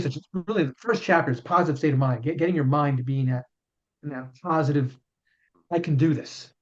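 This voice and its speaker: A man in his fifties, a chiropractor and author, answering interview questions in an earnest way and often repeating words as he explains. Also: a little high. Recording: dull lo-fi sound.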